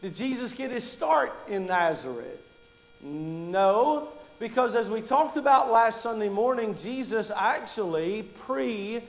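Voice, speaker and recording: A high-voiced man from Kentucky preaches, mixing folksy jokes into his teaching. His voice rings out with a long reverb, as in a big church sanctuary. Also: lively intonation, unhurried, vocal fry. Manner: folksy, joking storyteller. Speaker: pastor. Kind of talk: sermon.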